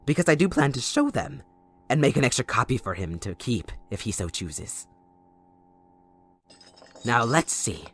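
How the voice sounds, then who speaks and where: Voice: mid-range voice, big pitch swings, measured pace, pauses often, a bit bright, slight background noise. A performer voicing a gleeful, sadistic supervillain kidnapper in an audio roleplay, theatrical, mocking and possessive toward a captive listener. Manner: theatrical, mocking, giggling, swooping between croon and shriek.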